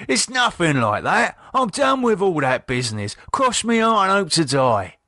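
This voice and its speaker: A man voicing an anxious working-class husband, speaking in nervous, halting, pleading bursts. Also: sits high, big pitch swings, pushed and bright, no echo, clean studio sound, voice pushed up, full character voice. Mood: worried.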